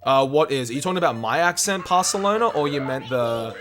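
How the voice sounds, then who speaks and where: A man's voice: a little high, expressive, a little fast, music in the background. A young Australian man giving chatty, casual livestream gaming commentary, calling viewers mate and dude. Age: young adult. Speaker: video game speedrun streamer.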